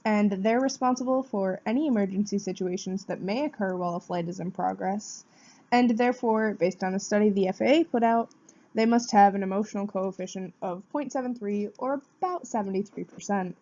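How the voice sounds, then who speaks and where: A woman delivers a prepared student presentation in a soft-edged, dark-toned voice. She explains things step by step in a mid-range pitch. The recording is dull and lo-fi. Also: brisk. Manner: explanatory, poses questions then answers them. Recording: dull, muffled lo-fi sound.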